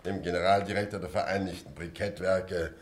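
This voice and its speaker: A man's voice: sits low, fairly even intonation, fairly quick, lightly acted. A man playing a pompous company director in a radio play, giving a formal, stilted, ceremonious speech.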